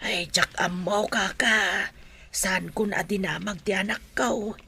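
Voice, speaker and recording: A woman's voice: mid-range voice, fairly even intonation, takes it slowly, bright, forceful tone, breathy edge, full character voice. A woman with an Ilocano accent playing a mother who stands up for her grown child in an audio drama. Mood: defensive.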